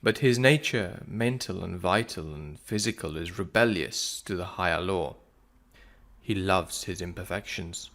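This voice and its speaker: A man reads philosophical prose as a solemn, measured narration, pausing deliberately between phrases. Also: sits low, fairly bright tone. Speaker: narrator of a spiritual audiobook. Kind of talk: scripted reading of philosophical prose.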